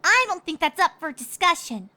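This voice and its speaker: A woman voicing an earnest, excitable, easily exasperated little pony filly in a girlish cartoon style, for a story reading. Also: very high-pitched, normal speed, pitched above the performer's own voice, heavily acted. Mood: earnest, polite. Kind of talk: cartoon-style character reading of fan fiction.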